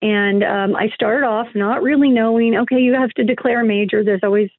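A woman's voice: on the high side, fairly even intonation, a little fast, dry recording. Woman guest on a tinny phone line. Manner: rambling, many fillers and restarts. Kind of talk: conversational interview.

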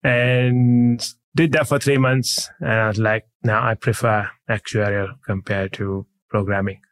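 A man from Sri Lanka telling his career story in a relaxed, matter-of-fact way as an interview guest. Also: fairly low pitch, a little slow, clear, resonant tone. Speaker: guest, head of data. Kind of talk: casual interview chat.

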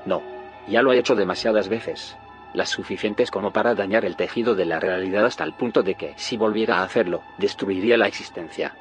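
An actor playing a grave, secretive scientist in an audio drama, solemn and explanatory. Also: male voice, on the low side, brisk, soft background music, lightly acted. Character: grave, secretive male scientist haunted by failed timelines. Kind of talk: audio fiction drama acting.

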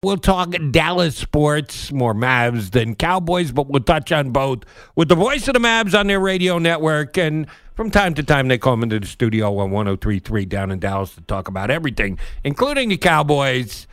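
A man's voice: a little high, big pitch swings, moderate pace, fairly bright tone, no echo, clean studio sound. An older man hosting a sports radio interview, talking informally in a chummy, bantering way. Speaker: sports talk radio host.

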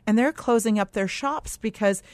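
A woman's voice: on the high side, moderate pace, no room sound. A middle-aged woman from Lebanon speaking as a guest in a radio interview, explaining her views in an earnest, emphatic way with many asides. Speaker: political candidate, studio guest. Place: radio studio. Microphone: close studio microphone.

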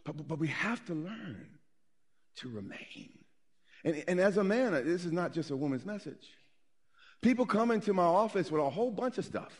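Pastor, male, middle-aged; animated sermon delivery, slightly high voice with a wide swinging pitch. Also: normal speed, breathy edge. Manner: animated preaching, swings from loud to soft.

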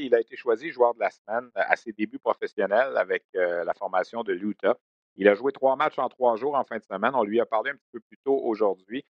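A man's voice: lowish voice, dry recording, muffled recording. A man hosting a hockey news segment and interviewing a player, talking in a loose, conversational way with many fillers. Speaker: sports radio host.

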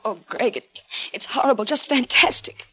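A woman's voice: a little high, normal speed, pushed and bright, slight background noise. A woman playing a panicked, defensive, guilty widow in an overwrought 1950s radio melodrama. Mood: distraught.

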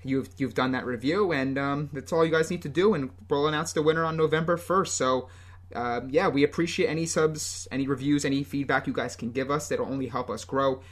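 Relaxed American podcast co-host. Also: male voice, medium pitch, a little fast. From United States. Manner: relaxed, rambling, many ums and restarts. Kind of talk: casual soccer podcast talk.

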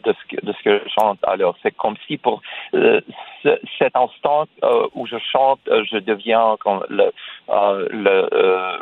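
A man's voice: lowish voice, steady tone, a little slow, creaky, dry recording. A man whose first language is Russian, a guest cellist speaking French in a radio interview, hesitant with many fillers and restarts. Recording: tinny phone line.

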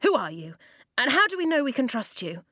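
A woman's voice: sits high, very animated, fairly quick, sharp tone, no echo, studio quality, pitched above the performer's own voice, full character voice. A woman doing a wary, defiant young boy.

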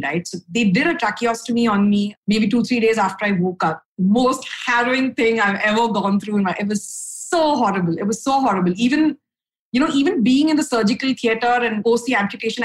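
A young adult woman from India, a podcast guest speaking frankly and upbeat, with a low voice for a woman and casual fillers. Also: poor audio. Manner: frank, upbeat, lots of fillers and "right?" tags. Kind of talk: candid conversational storytelling.

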